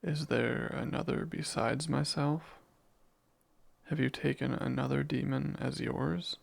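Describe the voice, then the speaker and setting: Casting: male actor as jealous, menacing, devoted demon for romantic ASMR roleplay; heavy vocal fry, slow formal delivery. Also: medium pitch, heavily acted. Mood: jealous, questioning. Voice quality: gravelly. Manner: slow, formal, deliberate phrasing.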